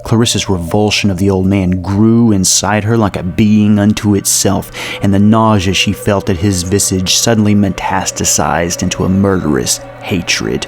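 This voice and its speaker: A man narrating a horror story in a measured, literary and ominous storytelling manner. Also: low voice, fairly even intonation. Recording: music behind the voice. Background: soft music.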